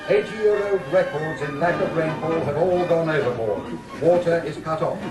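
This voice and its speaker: Casting: man as arch 1940s newsreel commentator, high-pitched and grandiose, heard at a distance over music. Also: moderate pace, echoey room, close to an everyday voice. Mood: grandly wry. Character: plummy, wry male newsreel announcer.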